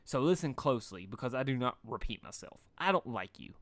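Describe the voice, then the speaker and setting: A man's voice: medium pitch, big pitch swings, brisk, fairly bright tone, no room sound. Man acting a snarling, scornful female griffin. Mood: scornful, threatening. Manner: snarling, disdainful. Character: hostile, sarcastic female griffin, scornful bully.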